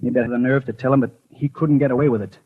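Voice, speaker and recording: A man's voice: mid-range voice, steady tone, rapid-fire, slightly rough, phone-quality sound, natural voice. An actor playing an anxious, imaginative postman who narrates his suspicions and stammers with others, in 1950s radio drama style. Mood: anxious brooding. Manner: hesitant and stammering when speaking to others. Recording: 1950s radio broadcast with music.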